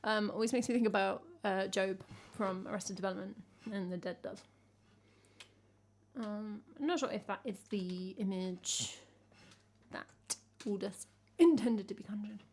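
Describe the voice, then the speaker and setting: A woman from England with a croaky voice, chatting casually with her live-stream chat as a singer-songwriter and teasing herself as she goes. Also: mid-range voice, unhurried, a little mellow. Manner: chatty, self-teasing, hums melody snippets.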